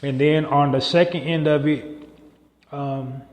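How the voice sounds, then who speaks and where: A man's voice: a little high, fairly even intonation, slow. A man hosting a podcast solo, talking in a loose, rambling way with many fillers and restarts. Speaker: podcast host. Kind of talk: casual solo monologue. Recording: echoey room with long reverb.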